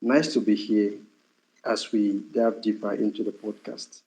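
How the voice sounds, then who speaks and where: A man's voice: on the low side, fairly even intonation, unhurried, warm tone, lo-fi sound. A man from Nigeria with a Nigerian accent, giving a formal self-introduction as a guest. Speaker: guest, communications officer.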